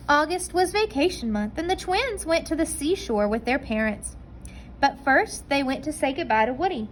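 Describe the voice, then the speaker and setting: A woman reading a picture book aloud to children, pausing to point young listeners to the pictures. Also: sits high, expressive, slight background noise.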